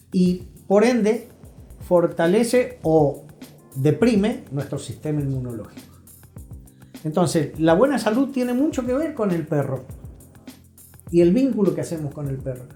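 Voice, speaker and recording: An Argentine man speaks as an interview guest with a soft, mellow tone. He explains and advises in an emphatic, conversational way, repeating phrases to drive points home. The room is audibly echoey, with music playing behind him. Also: high-pitched. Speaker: interview guest, health professional. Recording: roomy echo, music behind.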